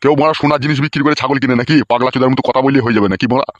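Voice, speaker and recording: A man's voice: mid-range voice, fairly even intonation, racing, no echo, dull audio, close to an everyday voice. A man voicing a grumpy, suspicious old village father in a comedy cartoon. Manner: grumbling, crude.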